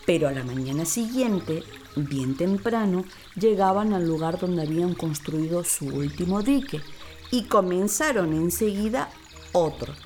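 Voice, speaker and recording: A woman's voice: lowish voice, expressive, measured pace, a little mellow. A woman giving scripted narration of a children's radio play as an actress. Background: music bed.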